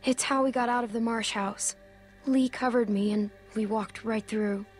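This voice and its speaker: A woman playing a young girl in a survival game drama. The voice is fairly high, soft and earnest, brave and sensible, with music behind it. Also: fairly even intonation, moderate pace, close to an everyday voice. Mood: matter-of-fact. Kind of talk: dramatic video game acting.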